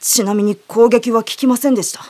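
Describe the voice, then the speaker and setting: A woman's voice: a little high, steady tone, a little fast, mellow, natural voice. A woman playing an earnest, dutiful young girl who blames herself, in an audio drama. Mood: worried. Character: young girl, dutiful, anxious, self-blaming lantern bearer. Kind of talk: Japanese audio drama acting.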